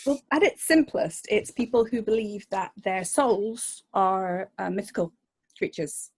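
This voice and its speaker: A woman journalist answering interview questions, thoughtful and hesitant, with frequent pauses and restarts. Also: mid-range voice, a little mellow, husky. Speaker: journalist guest.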